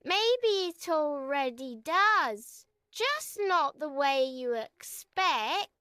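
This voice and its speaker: A man voicing a cheerful, proud little male magic bunny in a bedtime story, bouncy and gentle. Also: very high-pitched, very animated, drawn-out words, no echo, clean studio sound, pitched above the performer's own voice, heavily acted.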